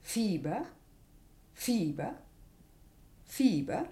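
An actress playing a polite hospital nurse, drawn-out and sing-song, in an experimental radio play with a roomy sound. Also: female voice, mid-range voice, big pitch swings, dark tone. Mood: clinical, sing-song.

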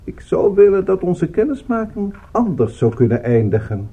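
An actor playing a courteous, coolly polite villain in a radio drama, speaking formally with smooth regret. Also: male voice, high voice, sing-song, moderate pace, narrow, tinny audio, slight background noise. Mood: formal, regretful.

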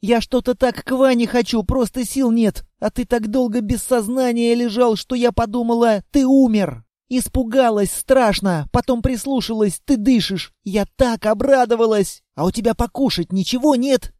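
A chattering little girl with a pleading, talkative manner, put on by a man reading a storybook. Her voice sits well above the reader's own yet stays soft and mellow. It is recorded close and dry. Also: extremely high pitch, moderate pace, hardly any pauses, dry recording, voice pushed up. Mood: chatty.

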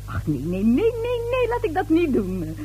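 A woman's voice: sits high, wildly swooping pitch, normal speed, dark tone, slight background noise. An actress playing a tipsy, gossipy neighbour lady in a radio play, chatty, coy and giggly. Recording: old radio drama recording.

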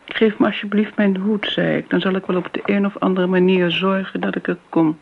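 A woman's voice: medium pitch, steady tone, brisk, creaky, a little hiss or hum, natural voice. An actress playing an elderly, wistful and fussy family friend in a Dutch radio play, rambling and flustered as she justifies herself. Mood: defensive. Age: older. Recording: dull, band-limited old radio recording.

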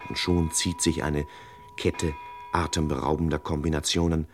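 Deep pompous radio thriller narrator. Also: male voice, moderate pace, over music. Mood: mock-dramatic. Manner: pompous, deadpan. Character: pompous male narrator of a parody detective thriller. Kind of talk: old radio thriller narration, mock-dramatic. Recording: noisy old radio recording with clicks.

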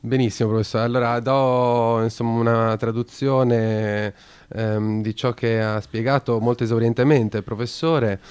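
A man's voice: medium pitch, fairly even intonation, normal speed, a little mellow, clear, resonant tone. A man co-hosting a radio talk show, explaining a topic at length with many hesitations and restarts. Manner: hesitant, lots of fillers and restarts. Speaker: radio co-host.

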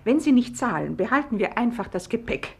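A woman's voice: on the high side, big pitch swings, brisk, creaky. A woman playing a practical, busy young wife who runs a guesthouse, in a 1950s radio play. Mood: businesslike. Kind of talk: 1950s German-language radio play acting.

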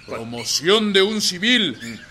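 A man's voice: high-pitched, sing-song, slow pace, full character voice. Emphatic revolutionary man over a crowd. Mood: proclaiming, emphatic. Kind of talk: radio drama acting.

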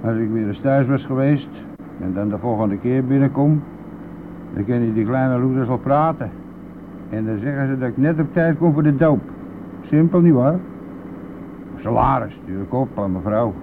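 An actor playing a boastful, brash and talkative bus driver in a radio play, with a blustery, colloquial manner. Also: male voice, medium pitch, normal speed, long pauses, close to an everyday voice. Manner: blustery, colloquial, mildly swearing. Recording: narrow phone-like old radio recording, faint noise.

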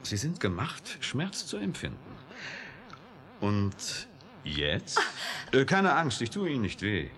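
Sardonic male scientist, wildly animated delivery. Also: medium pitch, slow pace, full character voice. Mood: teasing, probing. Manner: teasing, needling, philosophical. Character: provocative, sardonic male scientist, defiant and flirtatious. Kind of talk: 1980s German radio drama acting.

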